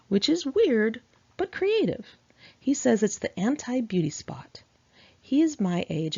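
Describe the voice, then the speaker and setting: A woman's voice: fairly low pitch, gravelly, mellow, breathy edge. A woman reading her own memoir aloud in a candid, chatty, sassy conversational style, on a dull lo-fi home recording.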